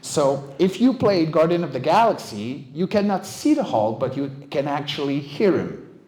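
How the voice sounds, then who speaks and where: A man's voice: fairly high pitch, big pitch swings, moderate pace, a little mellow. A man in his forties giving a casual, joking, self-deprecating talk to a live audience as a guest speaker. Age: 40s. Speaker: guest speaker, monster voice actor and vocal coach. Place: indoor venue at an evening meetup. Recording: roomy, echoing live talk venue.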